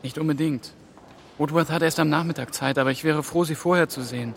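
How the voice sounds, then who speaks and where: A man's voice: fairly high pitch, fairly quick, vocal fry, a little hiss or hum. An actor playing an anxious, impatient man caught up in a conspiracy in a German radio play, with a natural, muttering manner. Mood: irritated.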